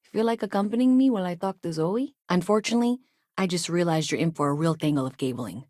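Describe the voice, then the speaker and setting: A woman's voice: lowish voice, fast, darkish tone, dry recording, clean studio sound, natural voice. An actress playing an anxious, earnest, chatty woman engineer in a sci-fi game, conversational and hesitant with wry asides. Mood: friendly, businesslike. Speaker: video game character. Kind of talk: naturalistic video game dialogue acting.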